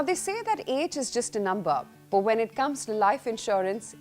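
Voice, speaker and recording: Indian woman anchor, lively pitch, polished delivery, faint music bed. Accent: Indian English. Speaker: news anchor. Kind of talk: scripted broadcast presenting.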